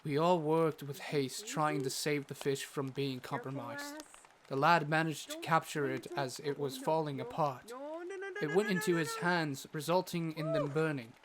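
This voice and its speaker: A man playing a learned, easily exasperated doctor, narrating a fantasy audio drama in a measured, earnest way. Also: a little high, a crowd in the background, lightly acted. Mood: grave, measured. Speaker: narrator and lead character.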